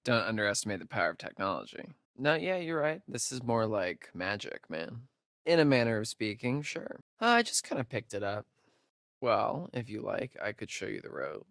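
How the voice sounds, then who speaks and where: A man's voice: mid-range voice, gravelly, a bit bright, no echo, clean studio sound. Hesitant young American guy, geeky camp counselor. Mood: wry, modest. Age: young adult. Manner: hesitant, rambling, stammering. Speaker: video game character actor. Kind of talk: naturalistic video game dialogue.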